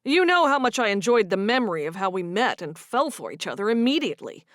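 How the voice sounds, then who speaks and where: A woman's voice: mid-range voice, very animated, fast talker, no echo, clean studio sound, natural voice. A woman reading a romance audiobook, voicing a young man who is wounded and earnest as he confronts his girlfriend. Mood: hurt.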